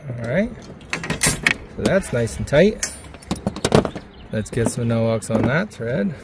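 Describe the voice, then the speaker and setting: A man with a gravelly, fry-edged voice at an average pitch walks through a hands-on job. His delivery is casual and plainspoken, like a home DIY video. Clear background noise from around a vehicle sits behind his voice. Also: big pitch swings, takes it slowly. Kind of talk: casual hands-on how-to narration.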